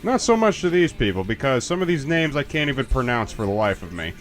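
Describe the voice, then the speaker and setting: A man's voice: mid-range voice, big pitch swings, fast, soft background music. A man casually chatting and joking as a commentator while a game's credits roll. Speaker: let's play commentator. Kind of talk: casual game commentary chat.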